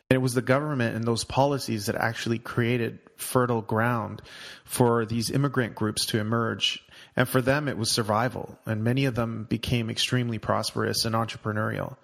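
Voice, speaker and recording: A man's voice: medium pitch, fairly even intonation, normal speed, vocal fry. A man hosting a podcast, enthusiastically introducing and questioning his guest. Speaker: podcast host. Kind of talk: podcast interview.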